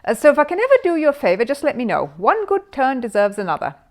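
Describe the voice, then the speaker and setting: A woman's voice: high-pitched, sing-song, fast talker, darkish tone, voice pushed up. A woman voicing a cheerful, chatty male television repairman in a children's story, with informal workman's talk. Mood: matey.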